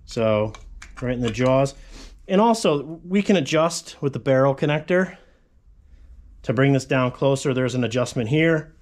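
A man's voice: medium pitch, expressive, measured pace, a little mellow. A man in his forties, a fabrication channel host, casually explaining a metal shop tool in his home garage, rambling with frequent asides. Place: cluttered home garage workshop with tarp walls.

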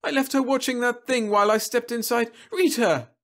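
Dude doing a panicked mom voice, way up high, pleading. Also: male voice, fairly even intonation, moderate pace, a bit bright. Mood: frantic. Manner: desperate, pleading. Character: frantic mother searching for her daughter.

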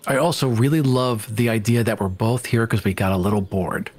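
A man's voice: fairly low pitch, a little fast, darkish tone. A man playing a tabletop game, improvising casually in the first person as his character. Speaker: player on a tabletop podcast.

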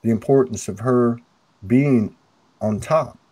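A middle-aged man with a loud, carrying voice talking earnestly on a video call, full of restarts, through an earphone mic at home. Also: medium pitch, breathy edge, no room sound, rough recording. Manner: earnest, halting, many restarts. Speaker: host. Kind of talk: spiritual video call conversation. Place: home room, indoors. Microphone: earphone headset mic on a video call.

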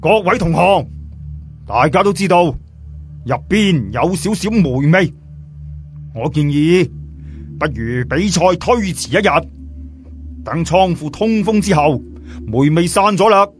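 Male reader as a polite boss hosting a contest, formal, projected higher voice, audiobook. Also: lively intonation, slow, long pauses, faint music behind. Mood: courteous, announcing.

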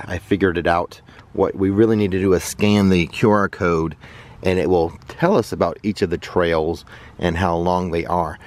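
A man's voice: low-pitched, darkish tone. A man in his forties with an American accent, chatting upbeat to viewers as he kayaks and tours a riverside park, filming himself at arm's length outdoors. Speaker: travel vlogger. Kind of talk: casual travel vlog narration. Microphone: camera held at arm's length.

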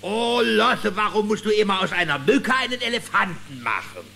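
An actor playing a pompous, greedy small-town sheriff who acts as judge in a children's cartoon radio play. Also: male voice, high-pitched, lively intonation, normal speed, gravelly, pushed and bright, full character voice. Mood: bossy, pompous. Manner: pompous, domineering. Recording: echoey hall reverb, faint music.

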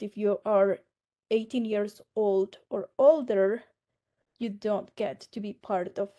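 A woman from Mexico, giving a slow, earnest personal testimony over a video call. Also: mid-range voice, mellow. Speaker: survivor advocate, guest speaker. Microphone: laptop webcam on a video call.

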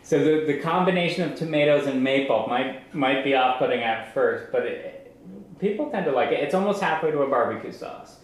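A young man giving an informal farm tour, explaining as he goes with halting, repeated starts. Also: mid-range voice, lively intonation. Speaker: maple farmer giving a tour. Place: large farm sugarhouse and tasting room. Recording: echoey farm building, some background noise.

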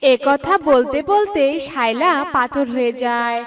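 A woman's voice: sits high, lively intonation, unhurried, recorded from a distance. A woman reading scripted narration for a children's cartoon story.

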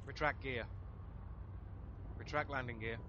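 A man's voice: medium pitch, fairly even intonation, measured pace, pushed and bright, dull audio. A man playing a game, giving short voice commands and casual commentary. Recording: clearly noisy gameplay recording.

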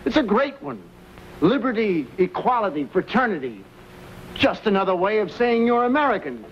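A dull old film soundtrack with faint noise carries an upright army captain. He declaims formally in a high voice, like a public speech in a western. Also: big pitch swings, normal speed. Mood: earnest, proud. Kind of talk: 1950s western screen acting, speech-making.

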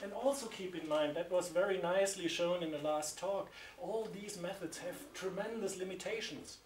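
German-accented guy lecturing, kinda high voice, echoey room, sounds far from the mic. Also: moderate pace, a little hiss or hum. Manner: explanatory, pointing at slides, gestures while speaking. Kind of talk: university lecture. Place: seminar room with projector. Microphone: distant room mic.

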